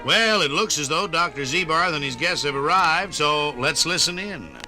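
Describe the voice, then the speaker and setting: This 1950s radio recording has faint music behind an American man. He announces from a script in a fairly high, very bright and forceful voice. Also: lively intonation, normal speed, rough recording. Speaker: announcer and narrator.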